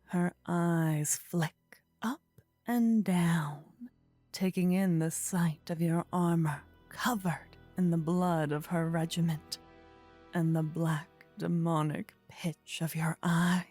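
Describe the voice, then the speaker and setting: A woman narrating a scripted second-person fantasy story in a soft, whispery ASMR style with measured delivery. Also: on the low side, fairly even intonation, faint music behind. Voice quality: breathy, whispery. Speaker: narrator and voice actor.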